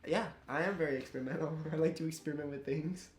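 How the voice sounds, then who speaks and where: A young man co-hosting a casual challenge video, teasing and quick to laugh. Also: on the high side. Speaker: guest co-host. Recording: roomy echo, faint music.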